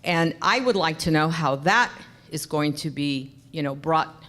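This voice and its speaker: Female union rep, deep voice, loud and assertive in public comment. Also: expressive, unhurried. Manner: loud, assertive, defends others' points. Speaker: union representative. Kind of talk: public comment at a town forum.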